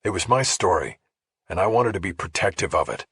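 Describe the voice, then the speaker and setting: A man reads crime fiction as an audiobook narrator. His voice is low and very raspy, with lively movement in pitch, recorded very dry. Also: a little fast, no echo. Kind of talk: scripted first-person crime thriller narration.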